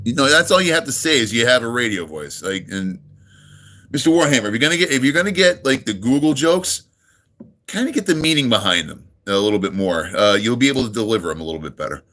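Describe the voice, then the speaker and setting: Laid-back middle-aged American streamer. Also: male voice, mid-range voice, expressive, fast, sharp tone. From United States, near New York. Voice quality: full radio-style voice. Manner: laid-back, friendly, rambling with many ums and uhs. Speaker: live streamer. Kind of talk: casual live-stream chat, reading and answering viewers.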